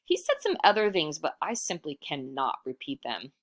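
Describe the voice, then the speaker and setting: A woman reading a storybook aloud as a sly trickster man, bossy and pleading, with theatrical shouts and wheedling. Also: low-pitched, wildly swooping pitch, moderate pace, full character voice. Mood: scheming. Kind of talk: storybook read-aloud character voice.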